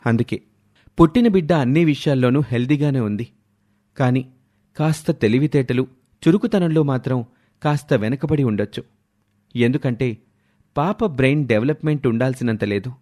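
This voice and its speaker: A man plays a doctor in a moral tale, at a medium pitch with lively inflection. He explains frankly and offers measured reassurance. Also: lightly acted. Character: frank, reassuring doctor advising new parents.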